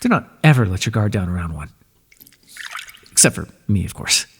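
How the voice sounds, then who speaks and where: A man voicing an ancient god of the underworld in an ASMR roleplay, speaking in a soft, breathy, measured way and reassuring the listener tenderly. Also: sits low, very animated, long reverb tail, slight background noise. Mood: gentle, soothing.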